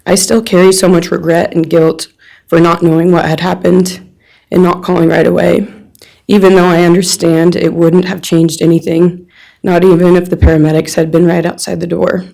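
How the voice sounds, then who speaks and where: A woman's voice: lowish voice, flat delivery, moderate pace, creaky, dark tone, rough recording. A young woman reading a written personal statement aloud in a courtroom on someone else's behalf. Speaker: friend reading a victim's statement on their behalf.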